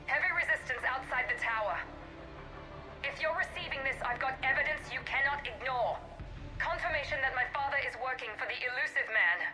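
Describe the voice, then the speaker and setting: A woman's voice: high voice, brisk, rough recording. A woman playing a resolute young operative who delivers terse, earnest warnings in dramatic game dialogue. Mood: urgent. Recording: game music behind the voice. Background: loud music.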